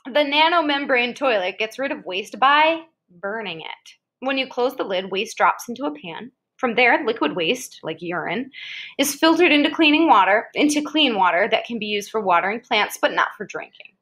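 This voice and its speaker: Woman, American, slightly high voice, reading nonfiction aloud conversationally with brief informal asides. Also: lively intonation, moderate pace, fairly bright tone. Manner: conversational, interjects short reactions. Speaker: reader of an article aloud to a child. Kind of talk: read-aloud of an educational article with casual asides.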